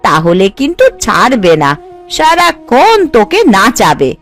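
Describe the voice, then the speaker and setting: Woman voicing a gentle, protective cartoon mother. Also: a little high, sing-song, a little slow, full character voice. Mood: concerned, consoling. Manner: consoling, advising. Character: gentle, protective middle-aged mother advising her grown son. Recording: light background music.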